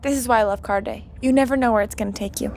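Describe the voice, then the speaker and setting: An actress playing an excitable, easily flustered young woman in a podcast sitcom, with emphatic, exclamatory delivery. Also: on the high side, very fast, lightly acted. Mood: excited. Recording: clear background noise, vehicle ambience.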